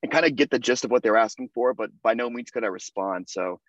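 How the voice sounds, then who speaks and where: A man's voice: medium pitch, fast, no room sound, muffled recording. A young American man, a podcast guest, chatting casually with lots of fillers and restarts. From United States. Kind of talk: casual interview conversation.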